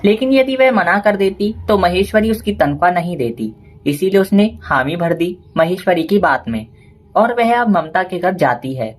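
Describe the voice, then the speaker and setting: A woman's voice: fairly low pitch, lively intonation, normal speed. A woman narrator reading a scripted story.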